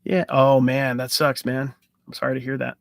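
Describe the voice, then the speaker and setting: A man's voice: medium pitch, little pitch movement, normal speed, gravelly, no room sound, clean studio sound. A man hosting a casual live-stream Q&A, talking in a loose, rambling way with restarts and fillers. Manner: enthusiastic about card hunting. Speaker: sports card channel host.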